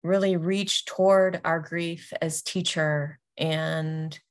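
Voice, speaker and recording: A woman introducing a guided meditation as a yoga nidra teacher, speaking gently and deliberately with long pauses. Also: low-pitched, fairly even intonation.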